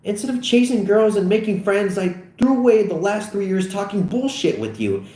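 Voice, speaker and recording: A fed-up teenage boy acted by a man at a script reading, indignant and sarcastic. His pitch sits well above a grown man's talk and jumps around a lot. The sound is roomy and echoey. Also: sing-song, takes it slowly, warm tone. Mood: cold, fed up. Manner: indignant, cutting. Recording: echoey room.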